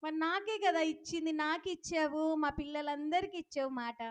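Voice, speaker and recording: A woman's voice: high-pitched, brisk. A woman hosting a children's puppet show, talking playfully to the children and the puppet and often asking them questions. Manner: instructive, addresses children with questions.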